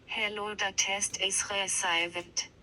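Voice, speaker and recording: A woman's voice: mid-range voice, little pitch movement, slow. A woman's text-to-speech app voice reading out short test messages, played back through a phone speaker. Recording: very noisy phone speaker playback.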